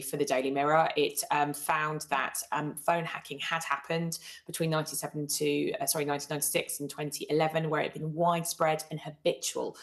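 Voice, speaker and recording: A woman giving analytical news commentary as a guest, with wry asides and some hesitant phrasing. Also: low-pitched.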